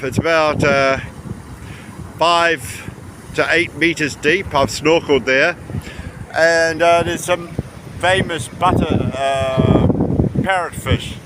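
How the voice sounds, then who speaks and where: A man giving a casual, rambling travel commentary outdoors by the sea, often pausing mid-sentence. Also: fairly high pitch. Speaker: travel vlogger. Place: outdoors on a rocky seashore by tidal pools. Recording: windy outdoor recording with sea noise. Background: wind and waves.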